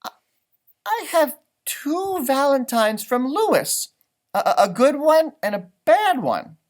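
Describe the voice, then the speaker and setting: A man's voice: very high voice, very animated, slow pace, voice pushed up, heavily acted. A man voicing a puzzled young schoolgirl from a storybook, hesitant and stammering.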